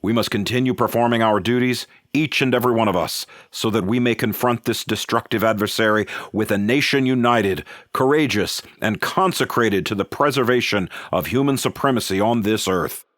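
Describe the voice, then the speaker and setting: A man playing a solemn statesman addressing the nation in a radio drama, with stately, measured oratory. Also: lowish voice, fairly bright tone, no echo, clean studio sound, close to an everyday voice. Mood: solemn, resolute. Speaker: government official giving an address. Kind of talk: 1930s-style radio drama speech.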